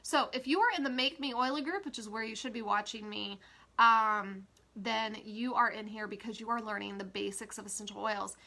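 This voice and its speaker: A woman's voice: a little high, fairly even intonation, moderate pace, bright, forceful tone. A woman with a stuffy, congested voice chatting casually as an essential oil educator, with frequent fillers. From Kansas, USA. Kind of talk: casual social media live talk.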